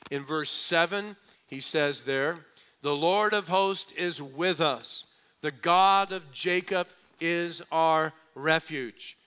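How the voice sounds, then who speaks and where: A male pastor preaching with a bright, forceful and crisp voice that sits a little high. He drops into a quieter aside, then slows right down to stress each key phrase. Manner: lively preaching, mimics skeptics, slows for emphasis. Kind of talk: sermon.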